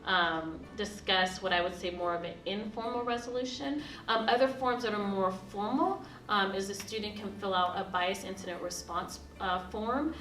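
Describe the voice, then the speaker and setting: A woman who is a university diversity officer, explaining in a measured documentary interview. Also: medium pitch, fairly bright tone, reverberant, far from the mic, faint music behind, rough recording.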